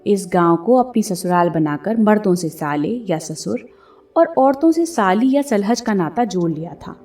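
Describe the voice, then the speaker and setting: A woman's voice: mid-range voice, animated, unhurried, darkish tone. Woman storyteller, slightly distant, over prominent music. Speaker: audiobook narrator. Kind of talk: scripted storytelling narration of a classic novel. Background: background music.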